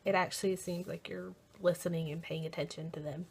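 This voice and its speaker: A young American woman chatting casually in a vlog, often pausing as she tries to recall things. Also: on the low side, fairly even intonation, gravelly, slightly rough. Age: young adult. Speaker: college program applicant vlogger.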